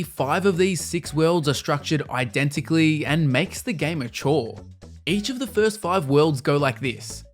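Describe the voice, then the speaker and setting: A man reading a scripted video game review in a measured, explanatory and opinionated way. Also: fairly high pitch. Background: music under the voice.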